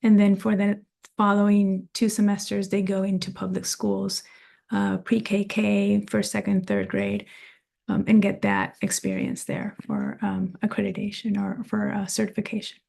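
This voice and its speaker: A woman's voice: mid-range voice, animated, normal speed, vocal fry, dark tone. A woman from Venezuela, an associate professor, introducing herself at a video meeting with frequent hesitant filler sounds. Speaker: associate professor of early childhood education. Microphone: video call.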